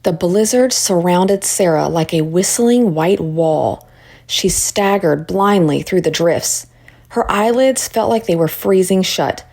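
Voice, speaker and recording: Woman reading a children's story, creaky voice. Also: on the low side, slow, darkish tone. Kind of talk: expressive read-aloud narration for children.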